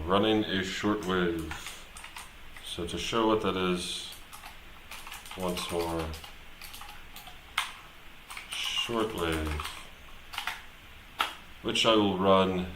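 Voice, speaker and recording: A man talking casually and unscripted on a live stream, hesitant and rambling as he thinks aloud. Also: low-pitched. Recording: echoey room, clear background noise.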